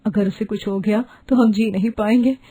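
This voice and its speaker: A woman voicing an anxious, aging village wife and mother in an animated story, speaking in a pleading, emotional way. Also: medium pitch, normal speed, slightly rough, close to an everyday voice.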